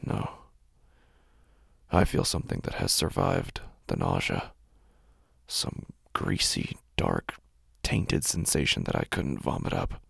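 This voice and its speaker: An extremely low-voiced man tells a horror story in the first person. His delivery is brooding and intense, full of heavy fry and sliding dramatically in pitch. He sits close to the microphone. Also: very animated, slow pace, no room sound. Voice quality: gravelly, husky, breathy at times. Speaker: horror podcast narrator.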